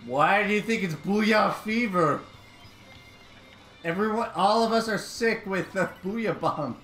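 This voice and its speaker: A young man streaming a shooter game from home at a desk mic, commenting excitedly and breaking into high-pitched yelps when startled. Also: slow. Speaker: gaming streamer. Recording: roomy with game music behind.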